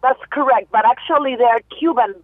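A woman's voice: a little high, a little fast. Peruvian woman on a tinny phone line. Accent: Peruvian Spanish. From Peru. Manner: lively, playful, laughs easily. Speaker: guest musician. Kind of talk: phone interview. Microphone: telephone.

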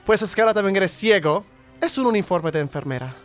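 Brief: haughty scheming soap villainess, played by a man with a Venezuelan accent, high and theatrical, over faint dramatic music. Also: animated, rapid-fire. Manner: melodramatic, mocking. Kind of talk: comic radio play reading. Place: radio studio. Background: dramatic background music.

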